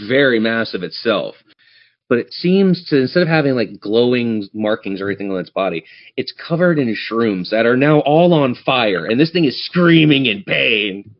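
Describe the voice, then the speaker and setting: A man acts as game master for a tabletop campaign. He improvises descriptive narration and turns to address his players directly. His pitch is average, and the recording is a little dull and lo-fi.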